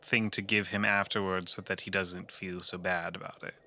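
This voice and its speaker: Bright, lowish-voiced man playing a tabletop game. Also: level tone, normal speed. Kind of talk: casual in-character game chat.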